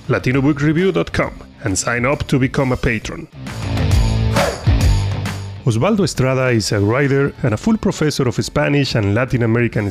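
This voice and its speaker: A man hosting a podcast, reading a formal introduction and asking interview questions in a polite way. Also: medium pitch. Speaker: podcast host.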